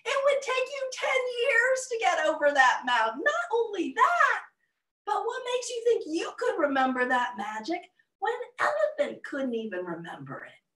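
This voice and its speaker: A woman voicing a crowd of scornful village animals who talk quickly and mock, heard in an echoey room from some distance. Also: very high voice, a bit bright, pitched above the performer's own voice. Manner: mocking, quick, scoffing.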